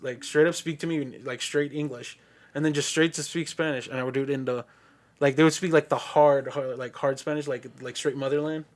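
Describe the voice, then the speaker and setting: A man from the United States, a podcast guest, rambling through casual chat with many filler words. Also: mid-range voice. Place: podcast studio.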